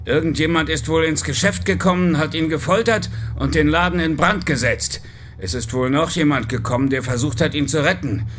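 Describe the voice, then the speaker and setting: A man plays a chief inspector in a crime radio play. His voice sits a little high. He is serious and businesslike, setting out facts briskly. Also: fairly bright tone, a little hiss or hum, natural voice. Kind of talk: German audio drama acting.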